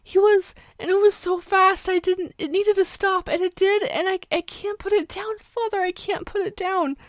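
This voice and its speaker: A woman's voice: extremely high pitch, steady tone, moderate pace, no echo, clean studio sound, voice pushed up. A woman voicing a terrified young demon girl, breathless and choked with sobs in an audio drama. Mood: tearful, straining.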